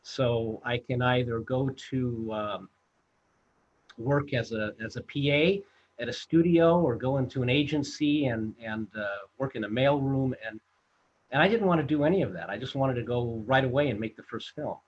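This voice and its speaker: Director in his 60s being interviewed, slightly raspy, halting storyteller, dull video-call audio. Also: mid-range voice. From United States. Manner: halting, many fillers and restarts. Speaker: film director and producer, guest.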